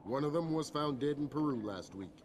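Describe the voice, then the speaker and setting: A man playing a measured, authoritative intelligence chief in a video game cutscene. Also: fairly high pitch, expressive, a little hiss or hum, lightly acted. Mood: calm, firm. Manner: measured, assured.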